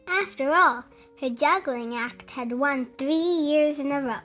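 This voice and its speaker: A woman reading a children's book aloud in an animated, deliberate, clearly enunciated storytelling style for young listeners. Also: high voice. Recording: music behind the voice. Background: music.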